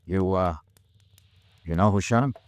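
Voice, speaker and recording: An actor playing a weary hardboiled American investigator with a soft spot, in 1950s radio detective drama style. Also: male voice, low voice, unhurried, no room sound. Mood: guarded. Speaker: lead actor and first-person narrator.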